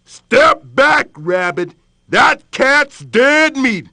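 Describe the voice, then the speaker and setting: A man voicing a fierce, hungry male lion who bosses the forest and growls out slow threats. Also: very high voice, lively intonation, vocal fry, sharp tone, no echo, pitched above the performer's own voice, full character voice. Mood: menacing. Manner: growling, bullying, drawn-out threats.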